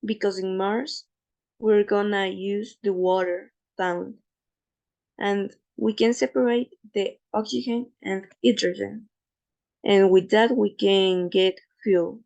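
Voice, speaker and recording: Gravelly-voiced woman presenting slides. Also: mid-range voice, fairly even intonation, a little mellow, highs cut off. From Ecuador. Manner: careful, slightly halting non-native English with fillers. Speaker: student presenter.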